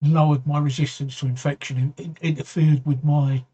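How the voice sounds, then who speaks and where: Male livestream host, husky and going hoarse, halting casual chat with frequent throat clearing. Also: a little high, level tone, mellow, lo-fi sound. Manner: halting, repeats words, often clears throat. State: voice getting hoarse. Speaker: livestream talk show host. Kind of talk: casual livestream chat answering viewer comments.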